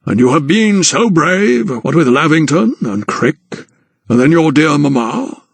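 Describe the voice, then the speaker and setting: A man voicing a conniving older aristocratic gentleman who meddles as guardian, speaking slowly and patronizingly. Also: on the high side, very animated, creaky, slightly rough, no room sound, voice pushed up, full character voice. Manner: slow, drawn-out, patronizing.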